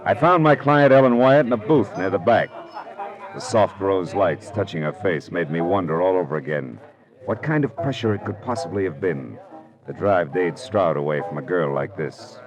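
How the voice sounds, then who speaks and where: Tough american detective from an old 40s radio show, low voice, wisecracks, world weary, music behind. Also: male voice, animated, moderate pace. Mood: brooding narration. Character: tough, wry hard-boiled private detective. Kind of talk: 1940s radio drama acting and first-person narration.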